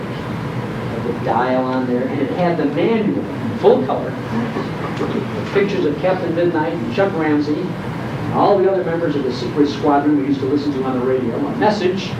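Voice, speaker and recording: An older American man telling humorous, nostalgic stories to an audience, animated, with dramatic pauses and repeats for comic effect. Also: fairly high pitch. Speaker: guest speaker. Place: indoor hall at a live program. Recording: muffled, noisy, echoey room from far off.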